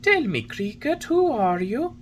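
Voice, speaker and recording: A woman's voice: on the high side, sing-song, a little slow, a little hiss or hum. A woman voicing an impudent wooden boy marionette with cheeky, defiant retorts.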